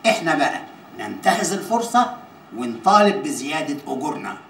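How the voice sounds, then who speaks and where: A man's voice: fairly high pitch, very animated, a little fast, a bit bright. An actor playing an Egyptian TV channel employee, a formal, hesitant spokesman with a deferential manner, in a comedy series. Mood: scheming. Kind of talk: Arabic comedy series acting. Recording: echoey room with background noise.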